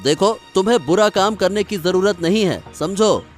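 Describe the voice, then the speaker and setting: A man voicing a naive, mild-tempered, slow-witted young brother in a Hindi cartoon moral story, puzzled and protesting in manner. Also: high-pitched, lively intonation, soft background music. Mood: uneasy protest.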